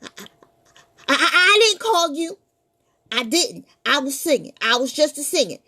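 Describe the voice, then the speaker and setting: A woman's voice: very animated, normal speed, bright, forceful tone, heavily acted. A woman doing a stammering, scared little boy. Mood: defiant. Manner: stammering, high and defiant. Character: scared young boy insisting he is not afraid.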